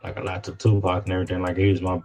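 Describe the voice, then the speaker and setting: A man with a Louisiana accent chatting casually as a guest, halting and often repeating his phrases. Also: low voice, completely flat delivery, creaky, a little mellow, faint music behind. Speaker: guest rapper. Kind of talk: casual chat.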